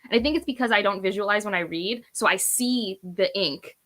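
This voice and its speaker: A woman's voice: medium pitch, brisk, a bit bright. A woman chatting casually as a co-host, in a rambling, self-deprecating way. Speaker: book reviewer, read-along co-host. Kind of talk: casual chat.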